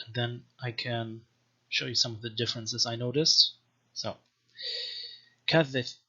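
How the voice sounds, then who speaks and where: A man giving an informal, scholarly language lecture in a deliberate, measured manner, as a teacher explaining and reading aloud. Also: mid-range voice, bright, forceful tone. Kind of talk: informal explanatory lecture with slow read-aloud text samples. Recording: dull, lo-fi microphone sound.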